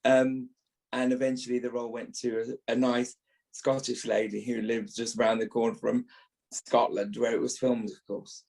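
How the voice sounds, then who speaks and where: British male, early 50s, voice-trained actor, medium pitch, reminiscing podcast guest. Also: flat delivery, normal speed, vocal fry, a little mellow. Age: early fifties.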